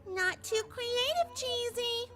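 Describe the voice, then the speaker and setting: A woman's voice: very high voice, expressive, slow, full character voice. A woman voicing a cheerful, morbidly playful young female cartoon pony in a fan fiction audio drama, perky and gushing. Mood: playfully morbid. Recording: loud music behind the voice.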